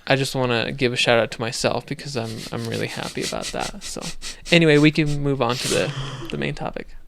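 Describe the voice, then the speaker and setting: A man's voice: mid-range voice, lively intonation, fairly quick, vocal fry, a bit bright, a little hiss or hum. A man co-hosting a casual podcast, chatting loosely with many fillers and restarts. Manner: rambling, lots of fillers and restarts. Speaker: podcast co-host.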